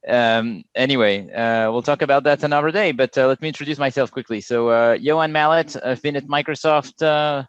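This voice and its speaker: A middle-aged Frenchman speaks in easy, filler-laden explanation, his accent clear through the chat. The sound is dry and close, with a slightly muffled, lo-fi edge from a remote call mic. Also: mid-range voice, fairly quick, few pauses, no echo. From France. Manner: explanatory, relaxed, many "uh" fillers. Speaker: guest product manager. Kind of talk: casual podcast chat.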